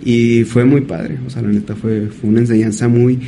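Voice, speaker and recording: A man, a painter as guest, telling stories in a thoughtful, halting way. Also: mid-range voice, little pitch movement, gravelly, mellow, slight background noise. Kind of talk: casual interview storytelling.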